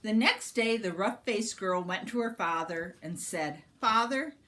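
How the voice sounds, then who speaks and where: A woman reading a storybook aloud to a young child, with dramatic, deliberate, expressive narration. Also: medium pitch.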